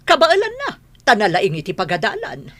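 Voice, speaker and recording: A woman's voice: medium pitch, wildly swooping pitch, brisk, fairly bright tone. A woman with an Ilocano accent acting a proud, scolding middle-aged mother in a radio drama, haughty and emphatic. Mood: indignant.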